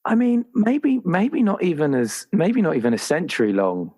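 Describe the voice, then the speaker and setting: A man's voice: on the high side, wildly swooping pitch, normal speed, darkish tone. A man chatting casually and playfully as a tabletop roleplaying player, enthusiastic and prone to ramble. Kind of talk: casual chat about a game character.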